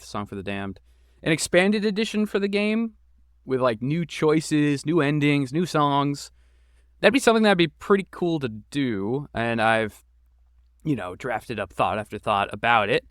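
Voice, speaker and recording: A man who creates and voices games, answering interview questions in a thoughtful, relaxed way with many fillers. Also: medium pitch, clear, resonant tone, no room sound, studio quality. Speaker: game creator and voice actor. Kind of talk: podcast interview guest.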